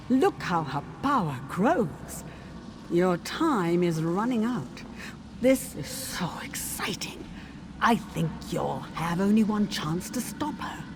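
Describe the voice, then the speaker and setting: A woman playing a sly, gleeful supernatural storyteller in a fantasy video game, theatrical and relishing each word. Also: on the low side. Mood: sly excitement. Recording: clearly noisy background.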